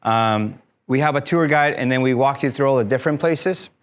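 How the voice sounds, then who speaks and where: A man's voice: mid-range voice, brisk, smooth. A man in his thirties from Mexico, speaking as a guest to a live audience in a room, friendly and explanatory with frequent fillers. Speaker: guest speaker, tour company owner. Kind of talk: conversational talk to a live audience.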